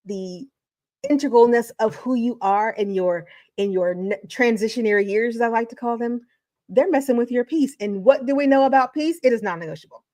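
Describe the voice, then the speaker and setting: A woman's voice: fairly high pitch, brisk, darkish tone, dry recording, studio quality. Emphatic woman, motivational commentary. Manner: emphatic, repeats phrases for effect. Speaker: educator and channel host.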